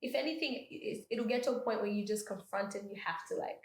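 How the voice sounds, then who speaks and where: A woman's voice: medium pitch, quick pace. A young Australian woman in her twenties talks openly and expressively, working out her thoughts aloud with plenty of fillers and restarts. Her voice sits in an ordinary mid range. The room gives her voice a noticeable echo. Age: 20s. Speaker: podcast guest. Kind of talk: casual chat.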